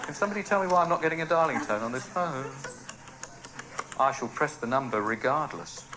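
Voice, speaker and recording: A man's voice: on the high side, lively intonation, a little fast, over a noisy crowd. A man presenting on radio, ad-libbing in a wryly flustered, joking way. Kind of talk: unscripted ad-libbed filling on air. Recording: noisy archive radio tape.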